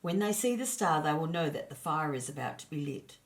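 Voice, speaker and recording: Woman as a calm, patient creator spirit. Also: low voice, brisk. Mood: reassuring. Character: Great Spirit, patient, reassuring male creator among the stars.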